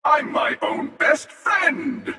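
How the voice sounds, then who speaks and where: An actor playing a menacing, sardonic, world-weary armored warrior in a video game, with a gravelly, taunting, unhurried delivery. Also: male voice, very high voice, animated, sharp tone, raised voice, a faint crowd, full character voice. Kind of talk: video game character voice lines. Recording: cavernous long reverb, distant.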